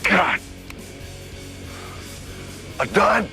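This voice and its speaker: An actor playing an agitated, hot-tempered gambler in a horror television drama. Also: male voice, sits high, sing-song, drawn-out words, full character voice. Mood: exasperated. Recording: film clip under loud music.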